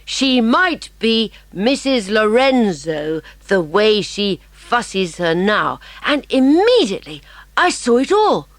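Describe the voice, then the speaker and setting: A bright, eager boy detective, Fatty. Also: mid-range voice, big pitch swings, slow, dry recording. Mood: modestly pleased. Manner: polite, explanatory, modest, slightly hesitant. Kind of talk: dramatised children's audiobook acting.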